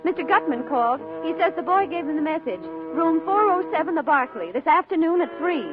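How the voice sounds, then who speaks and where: High-voiced efficient secretary. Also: female voice, animated, brisk. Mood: businesslike. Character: efficient female secretary relaying messages. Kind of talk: 1940s radio acting. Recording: muffled old radio, loud music.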